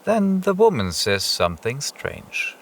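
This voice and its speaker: A man playing an introspective wanderer who narrates a surreal journey in a radio play, speaking deliberately. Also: medium pitch, huge pitch swings, a bit bright, full character voice. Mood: contemplative. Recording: music under the voice. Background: music.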